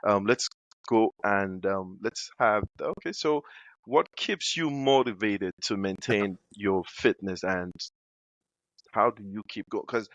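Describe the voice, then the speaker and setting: A man's voice: lowish voice, takes it slowly, fairly bright tone, no room sound. A man from Africa hosting a podcast interview, asking questions hesitantly with many fillers. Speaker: podcast host. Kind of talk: casual interview. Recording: dull dry sound.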